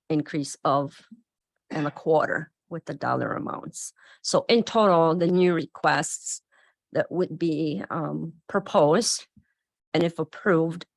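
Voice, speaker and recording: A woman presenting a county budget to a board, speaking in a measured way with occasional pauses. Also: sits low, creaky, no echo. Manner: measured, reading out figures with "um" pauses.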